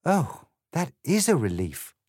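A man's voice: fairly high pitch, wildly swooping pitch, a little slow, darkish tone, dry recording, studio quality, pitched above the performer's own voice, full character voice. A man voicing a polite, curious young girl who speaks primly. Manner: prim and polite.

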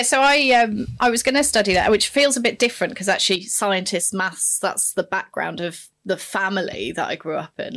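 Low, gravelly woman telling her story. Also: fairly bright tone. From East Africa. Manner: reflective, searching for words. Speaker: podcast guest. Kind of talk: casual interview chat.

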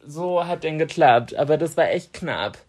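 A woman's voice: low-pitched, moderate pace. A woman in her thirties, a podcast co-host, telling an anecdote in casual chat and acting out what she said back then. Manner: animated storyteller, laughs often, acts out her own quotes.

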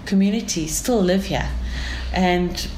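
A woman's voice: on the low side, slow pace, a little mellow. A woman from South Africa with a South African accent, describing her city as an interview guest in an enthusiastic, storytelling way. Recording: echoey room with faint ambient noise.

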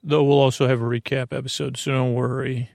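A man with a noticeably creaky, middle-pitched voice hosts a bedtime podcast. He rambles in a silly, roundabout way, hesitating and restarting his sentences. The sound is dry, with no room echo. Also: flat delivery, brisk, a little mellow. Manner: meandering, hesitant, self-interrupting; whimsical, silly. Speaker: podcast host.

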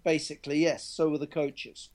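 A man's voice: a little high, level tone, normal speed, a little mellow. A man being interviewed as a rugby journalist, talking candidly and often restarting his sentences. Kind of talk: conversational interview answers.

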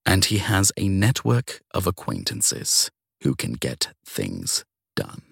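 A man reading a scripted first-person crime story in a measured storytelling manner, with dramatic pauses. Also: sits low, wildly swooping pitch, creaky, a bit bright, breathy edge, dry recording, studio quality. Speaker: narrator of a short crime story.

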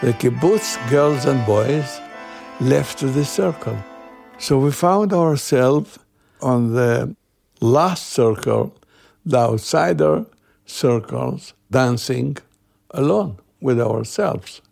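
A man in his eighties from Iraq with an Iraqi Arabic accent, a native Arabic speaker, telling personal memories in halting, repetitive phrases. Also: medium pitch, animated, creaky, darkish tone, slightly rough, faint music behind. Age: 80s. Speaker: interviewee recalling his youth. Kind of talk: personal oral storytelling.

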